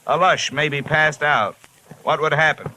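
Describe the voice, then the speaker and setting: An actor playing a tough, wisecracking insurance investigator in an old radio detective drama, brusque, clipped and wry. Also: male voice, on the high side, fairly even intonation, unhurried, bright, forceful tone. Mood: urgent, clipped. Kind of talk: 1950s radio detective acting and narration. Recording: noisy old-time radio recording.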